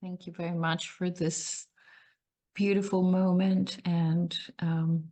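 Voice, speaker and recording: Woman from Costa Rica, low-pitched, slow and flat, gentle and hesitant; call participant sharing.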